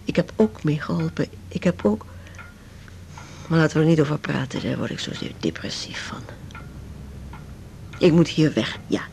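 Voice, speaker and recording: An actress playing a lonely, brooding older widow in a radio drama, speaking in a halting, confiding way. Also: female voice, low-pitched, expressive, vocal fry, warm tone. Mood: troubled, melancholy. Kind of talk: naturalistic Dutch radio drama acting. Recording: faint background noise.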